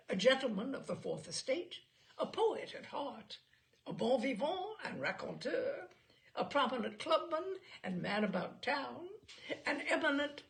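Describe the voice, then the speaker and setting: Woman voicing pompous middle-aged male expert witness, self-satisfied, creaky, highly animated, humorous audiobook. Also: heavily acted. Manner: pompous, pat answers. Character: smug fortyish male cliché expert on witness stand.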